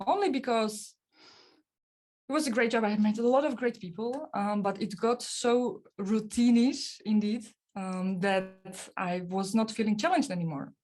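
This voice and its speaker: A woman's voice: mid-range voice, unhurried. A young woman, a podcast guest, answering interview questions casually with many fillers and restarts. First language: not German.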